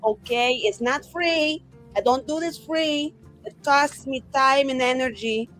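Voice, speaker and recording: A high, feminine voice from a woman player plays a vain mage in a fantasy tabletop game. She is exasperated and foul-mouthed, ranting with heavy sarcasm over background music. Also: unhurried, fairly bright tone. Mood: indignant. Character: exasperated, vain, profane woman mage sending dream messages.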